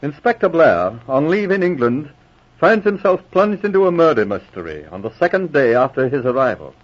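Husky male vintage radio announcer. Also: a little high, sing-song, moderate pace. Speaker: announcer and narrator. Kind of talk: 1940s radio scripted narration. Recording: muffled old radio recording.

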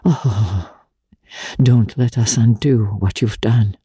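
A woman playing an earnest, slow-spoken genteel lady. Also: a bass voice, husky, no echo, studio quality, full character voice. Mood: pleading. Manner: hushed, deliberate, fervent. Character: earnest, sorrowful, self-denying genteel lady of old New York. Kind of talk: dramatic audiobook character reading.

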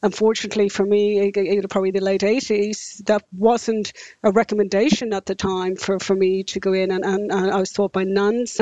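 A woman's voice: mid-range voice, warm tone, no room sound, muffled recording. A woman in her fifties from rural Ireland with an Irish accent, a guest in a podcast interview who often repeats words and restarts her sentences. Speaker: interview guest, engineering company director.

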